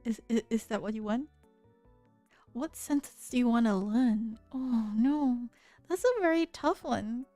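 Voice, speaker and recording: A woman's voice: on the high side, measured pace, warm tone, dry recording. A woman hosting a livestream, chatting casually with viewers at a desk microphone and often stammering over her words. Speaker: livestream host. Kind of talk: casual chat reading viewer messages. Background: faint background music.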